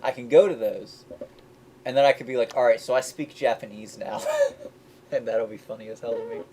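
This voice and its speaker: A man's voice: medium pitch, brisk. Rambling young man with a faint hiss. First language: English. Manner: rambling, self-correcting, laughs often. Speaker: indie game developer. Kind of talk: casual chat.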